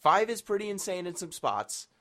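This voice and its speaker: A man's voice: sits high, very animated, moderate pace, fairly bright tone. A man chatting casually about video games in an emphatic, playful, bantering way. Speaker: podcast guest, gaming marathon runner.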